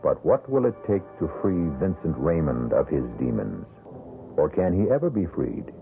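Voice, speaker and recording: A male host giving scripted, measured and solemn narration for a 1970s radio mystery show, in a tinny radio broadcast. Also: sits low, sing-song, gravelly, over music.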